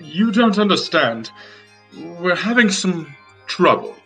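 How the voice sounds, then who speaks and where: A young man playing an anxious, self-important groom in melodramatic, drawn-out old-time radio mystery style. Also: high voice, sing-song, pushed and bright, soft background music, exaggerated voice. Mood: gloomy, pleading. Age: young adult. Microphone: video call.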